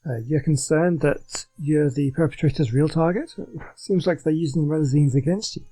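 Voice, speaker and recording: A man's voice: on the high side, normal speed, mellow, poor audio. A man hosting a game playthrough, commenting casually in a laid-back, musing way and reading some dialogue aloud. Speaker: gaming video host.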